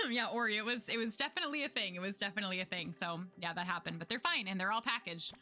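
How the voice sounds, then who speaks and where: A young woman streamer chatting casually to her viewers, full of "like" fillers and quick laughs, her voice getting worn out. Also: mid-range voice, bright, forceful tone, faint music behind. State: losing her voice. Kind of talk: casual chat with live chat.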